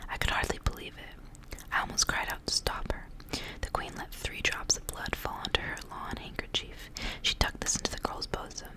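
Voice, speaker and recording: A woman reading aloud in a soft, breathy, unhurried whisper, in the style of an ASMR storyteller. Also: poor audio.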